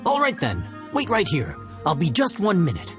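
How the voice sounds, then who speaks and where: An actor playing a humble, friendly inn chef in a video game, with a hesitant, eager manner. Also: male voice, on the high side, huge pitch swings, moderate pace, over music. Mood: chatty, confiding. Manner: hesitant, colloquial, eager to please. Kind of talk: animated video game voice acting.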